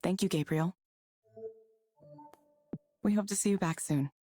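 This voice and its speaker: A woman voicing a calm, polite AI counselling program in a video game, with measured, scripted delivery. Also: lowish voice, dry recording, clean studio sound, faint music behind. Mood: calm, procedural. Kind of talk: scripted virtual assistant in a game.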